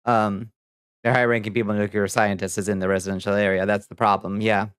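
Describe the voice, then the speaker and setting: A man hosting a livestream, chatting casually with viewers and commenting on news in a halting, profane, muttering way. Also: on the low side, flat delivery, clean tone, no room sound, studio quality. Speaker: livestream host reading viewer chat.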